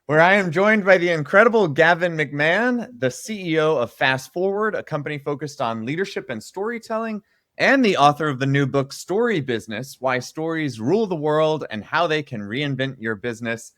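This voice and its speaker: A man's voice: fairly high pitch, animated, normal speed, fairly bright tone, no echo, clean studio sound. A man co-hosting an interview podcast, chatty and enthusiastic as he introduces and questions his guest. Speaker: podcast co-host.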